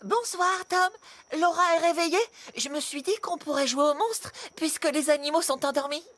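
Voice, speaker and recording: A woman's voice: high voice, fairly even intonation, moderate pace, fairly bright tone. A woman voicing a playful, pushy little kid vehicle, mischievous and eager, in a children's cartoon dub.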